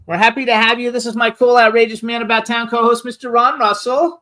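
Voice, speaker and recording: A man hosting a live celebrity interview show, talking in a chatty, rambling, excitable way. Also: very high-pitched, fast talker. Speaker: talk show host.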